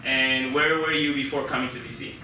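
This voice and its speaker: A man from Peru hosting a casual live interview on stage, speaking informally and haltingly, often repeating words as he puts his questions. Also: medium pitch, fairly even intonation. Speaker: host interviewing a guest on stage. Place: live show venue with an audience of about fifty. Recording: roomy echo, distant mic, loud background noise.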